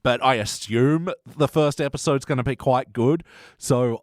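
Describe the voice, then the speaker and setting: A man's voice: mid-range voice, measured pace, dry recording, clean studio sound. A man co-hosting a podcast in loose, rambling, jokey and informal conversation. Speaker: podcast co-host. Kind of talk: casual chat.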